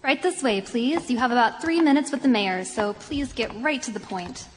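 A woman playing a brisk, deferential official aide in a comic radio play. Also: on the high side, lively intonation, slight background noise, lightly acted. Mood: brisk, officious. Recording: reverberant hall, audible audience.

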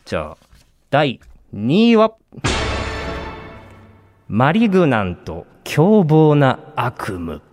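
A man's voice: mid-range voice, huge pitch swings, very slow, pauses often, a little mellow, faint music behind. A man co-hosting a podcast, chatting casually about films and retelling plots like a storyteller building suspense. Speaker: podcast co-host and show writer recommending films.

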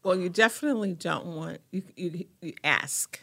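A woman, a guest representing a foundation, speaking in quick, halting bursts with frequent restarts. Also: lowish voice, creaky, fairly bright tone, dry recording. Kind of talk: casual interview conversation.

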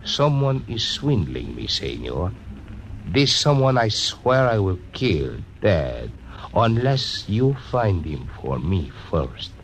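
An actor playing a boastful, excitable casino owner with a Spanish accent, in a 1940s radio drama. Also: male voice, low-pitched, slow, slight background noise, full character voice, low-quality recording. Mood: florid,wheedling. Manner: theatrical, florid, swooping phrases.

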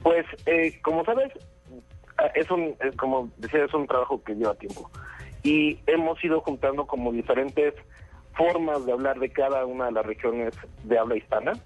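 Mexican man with a husky voice. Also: mid-range voice, moderate pace, dull audio, soft background music. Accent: Mexican Spanish. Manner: explanatory, with fillers. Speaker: company spokesman. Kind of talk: interview answers.

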